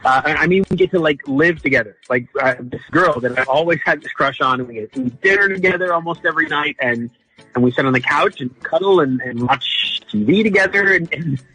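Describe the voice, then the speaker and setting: A man calling in to a radio show, telling his story casually in an earnest, halting way. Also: fairly high pitch, pushed and bright, husky, low-quality recording. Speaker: caller.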